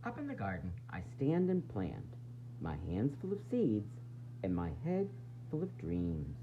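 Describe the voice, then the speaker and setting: A man reading a children's picture book aloud in an expressive, dramatic, sing-song way, with varied emphasis. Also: lowish voice, measured pace. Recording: dull lo-fi sound, faint noise and hum.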